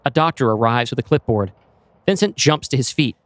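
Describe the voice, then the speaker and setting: Male narrator for scripted audio drama, medium pitch, measured storytelling, very little room sound. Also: dry recording. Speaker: narrator and host. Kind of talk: scripted screenplay narration in present tense.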